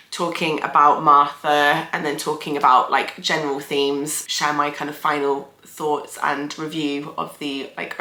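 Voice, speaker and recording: A woman's voice: low-pitched, sharp tone, echoey room. A young woman, a therapist hosting her channel, sounding a bit nasal and talking in a chatty, thoughtful way with many fillers. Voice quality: nasal from hay fever. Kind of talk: casual video commentary.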